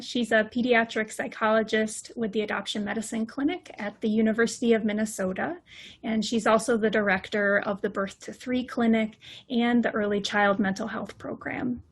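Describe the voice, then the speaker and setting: A woman hosting an interview, reading a prepared introduction and asking her guest questions in a clear, measured way. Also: a little high, fairly bright tone. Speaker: interview host.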